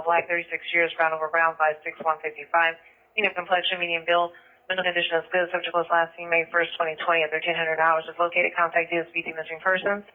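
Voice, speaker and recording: A man working as a police dispatcher, speaking in clipped procedural phrases and repeating details over a two-way radio. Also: a little high. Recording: tinny narrowband police scanner radio.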